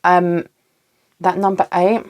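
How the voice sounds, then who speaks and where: A woman's voice: on the low side, little pitch movement, slow, a little mellow. A woman giving a solo tarot reading, musing aloud and asking the cards for clarity, with a slight cough and sniffles. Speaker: tarot reader.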